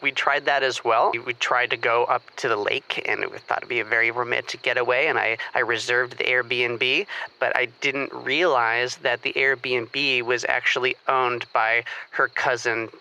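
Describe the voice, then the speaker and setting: An actor playing an earnest, overwhelmed boyfriend who calls a radio advice show, speaking slightly formally in a comedic audio drama. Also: male voice, medium pitch, steady tone, moderate pace, pushed and bright, low-quality recording. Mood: flustered.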